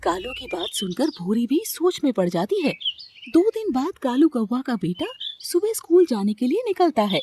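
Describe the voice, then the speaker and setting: A woman's voice: high-pitched, sing-song, normal speed, mellow, a little hiss or hum. A woman narrating a scripted cartoon story as its storyteller. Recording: music behind the voice.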